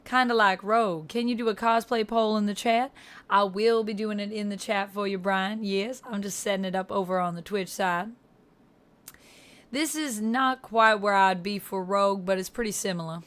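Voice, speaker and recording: A woman's voice: medium pitch, moderate pace, a bit bright, clear, resonant tone. A woman hosting a livestream, chatting casually with viewers in a put-on Georgia-style Southern US accent. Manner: chatty, addresses viewers by name. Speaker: livestream host.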